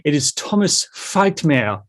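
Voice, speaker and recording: A man's voice: on the high side, big pitch swings, slow. A man hosting a casual interview show, chatty and a little self-deprecating.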